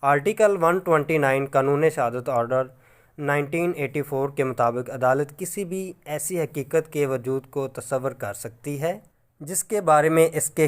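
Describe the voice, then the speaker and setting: Formal Pakistani man reading a law lecture. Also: mid-range voice. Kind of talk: scripted legal lecture, read aloud.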